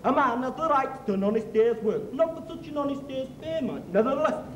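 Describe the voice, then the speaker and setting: An actor playing a gruff older male trade union steward, blustering and indignant. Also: very high voice, lively intonation, a little fast, a bit bright, a little hiss or hum. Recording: echoey, dull, music behind.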